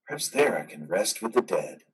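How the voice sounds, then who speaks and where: A man playing a brooding, guarded, grieving veteran in an amateur stage play reading, with a dramatic, halting delivery. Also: sits low, big pitch swings, breathy edge, distant mic, exaggerated voice. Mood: brooding, bitter. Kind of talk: amateur reader's theater over video.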